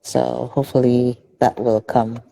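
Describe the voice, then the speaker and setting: A woman's voice: very deep voice, level tone, a little slow, vocal fry, mellow, rough recording. A woman from Indonesia, a festival director, interviewing a filmmaker over a video call in a chatty way, often laughing and correcting herself. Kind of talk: casual online interview.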